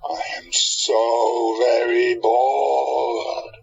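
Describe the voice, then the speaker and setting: A man playing an irritable, stubborn, grieving bookshop keeper in a radio play, husky and dramatically self-justifying. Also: mid-range voice, little pitch movement, drawn-out words, dull audio, soft background music, full character voice. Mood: bored, brooding.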